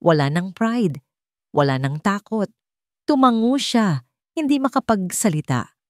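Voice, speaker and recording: A woman's voice: mid-range voice, sing-song, unhurried, no echo, studio quality. A woman reading scripted narration of a sentimental love story.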